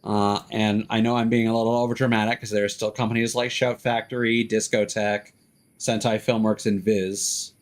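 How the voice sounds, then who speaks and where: A man's voice: on the low side, level tone, normal speed. A man live streaming a game, chatting casually with rambling, profane, opinionated commentary. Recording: music behind the voice.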